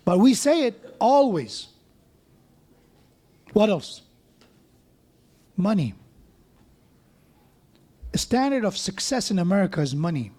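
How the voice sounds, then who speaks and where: A man's voice: high-pitched, very animated, slow pace, long pauses, mellow. A man preaching a sermon in a mosque, conversational, with joking asides and frequent restarts.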